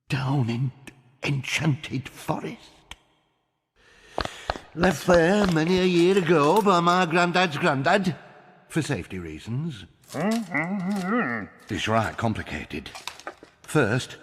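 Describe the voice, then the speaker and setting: A man's voice: medium pitch, slightly rough, long reverb tail, full character voice. A man playing an anxious, blustering village mayor with a Northern English accent and folksy, dramatic manner in a video game cutscene. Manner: dramatic, exclamatory, folksy dialect.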